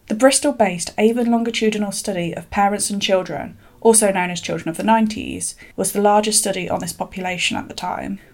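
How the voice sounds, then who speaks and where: A woman podcast interviewer with a gravelly, fry-heavy voice reads a scripted profile and asks friendly questions. Her delivery is clear and upbeat. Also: medium pitch, fairly quick, few pauses. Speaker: interviewer and narrator.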